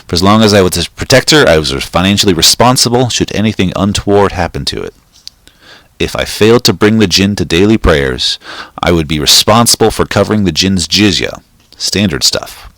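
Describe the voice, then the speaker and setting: A man with a very low voice reads a short story aloud in a steady, measured way. The recording is dry and close, with no room sound. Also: no echo. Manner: steady, measured reading with occasional slips. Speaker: reader.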